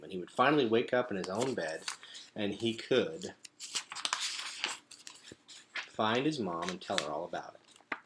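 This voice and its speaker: A man reading a storybook aloud as a storyteller, with dramatic, emphatic phrasing. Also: lowish voice.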